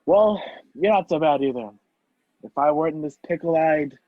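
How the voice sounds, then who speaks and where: A man's voice: a little high, lively intonation, normal speed, dry recording, natural voice. A man acting a wrongly accused, impatient man on the run in a radio play over a video call. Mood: anxious. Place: video call, dry room. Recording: muffled video-call audio.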